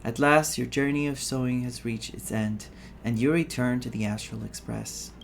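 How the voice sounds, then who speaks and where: A man streaming a story game, reading dialogue aloud and adding his own expressive reactions. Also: mid-range voice, moderate pace, creaky, slight background noise. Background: game music.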